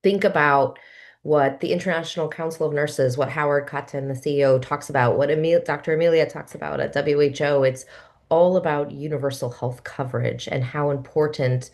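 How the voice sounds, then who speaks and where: Low-voiced enthusiastic woman moderator. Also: steady tone, a little fast, darkish tone. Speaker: webinar moderator. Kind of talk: moderating a webinar.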